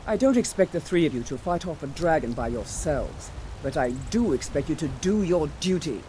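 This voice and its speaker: A commanding fantasy official, acted by a man, dark in tone and fairly high for a man. He speaks in firm, formal orders over faint outdoor ambience. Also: animated, moderate pace, a little hiss or hum, close to an everyday voice, rough recording. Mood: stern.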